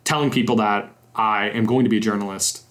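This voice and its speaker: A man's voice: on the low side, fairly even intonation, brisk, fairly bright tone. A young man from the American South hosting a podcast, talking casually in long, self-correcting stretches. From Southern United States. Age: young adult. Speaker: podcast host, interviewer. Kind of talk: casual interview chat.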